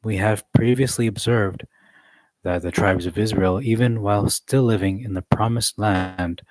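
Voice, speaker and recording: A man reading a study text aloud in a measured way, adding occasional joking asides. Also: lowish voice, steady tone, a little mellow, rough recording.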